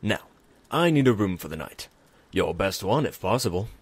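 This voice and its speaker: Man as a pompous young half-elf noble, swooping pitch. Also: sing-song, moderate pace, husky, no echo. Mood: haughty, indignant. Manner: pompous, indignant. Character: haughty, pampered half-elf nobleman of about twenty. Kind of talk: fantasy audio drama acting.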